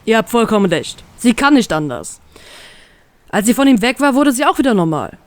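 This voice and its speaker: A woman's voice: medium pitch, fairly even intonation, a little slow, smooth, dry recording, natural voice. A woman reader voicing a brooding male ship captain who speaks in a cold, firm voice. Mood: determined.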